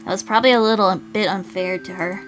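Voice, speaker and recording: A woman's voice: medium pitch, fairly even intonation, fast, slight background noise, natural voice, poor audio. Woman reading as introspective young man, earnest narration. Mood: regretful.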